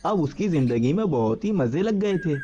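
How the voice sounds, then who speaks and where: A man's voice: a little high, sing-song, normal speed, dark tone, dull audio, a little hiss or hum. A man narrating a scripted children's story as the storyteller.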